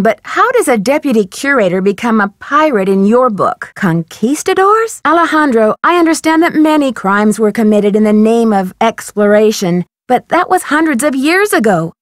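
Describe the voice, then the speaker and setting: A woman's voice: a little high, no room sound, clean studio sound. An actress playing a polite, inquisitive young female detective in a video game, courteous and articulate as she probes. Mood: friendly, businesslike, then earnest questioning.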